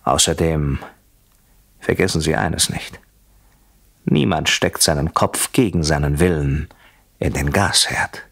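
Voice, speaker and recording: A vengeful husband in a radio play, very low and husky. His pitch swings widely as he moves from mock courtesy to menacing whispers, always deliberate and coldly methodical. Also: fairly bright tone, full character voice. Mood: smugly calm. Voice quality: husky, often dropping to a whisper. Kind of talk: German radio play acting.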